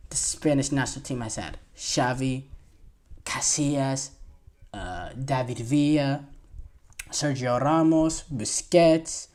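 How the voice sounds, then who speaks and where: A twenty-year-old man hosting a solo sports podcast, talking in a rambling, enthusiastic way with lots of fillers and restarts. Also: fairly high pitch. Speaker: podcast host.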